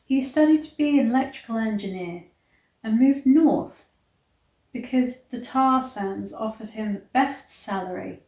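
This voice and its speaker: A woman's voice: a little high, a little slow, mellow. A woman reading a novel aloud in a mock-dramatic way, breaking off for blunt, incredulous commentary. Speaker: online reader and commentator. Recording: roomy, echoey sound.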